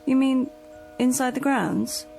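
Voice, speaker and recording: A fretful, scolding female companion, in a vintage television drama. Her voice is fairly high with a gravelly creak, over faint music. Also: takes it slowly, warm tone, exaggerated voice. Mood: wary, curious. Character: fussy, exasperated female companion to an invalid.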